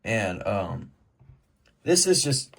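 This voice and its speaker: A man with a fairly low voice talks casually through items in his collection. His delivery is informal and rambling, and he freely offers his own opinions as he goes. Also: sing-song, very slow, creaky. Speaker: collector presenting a weather radio collection. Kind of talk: casual product show-and-tell.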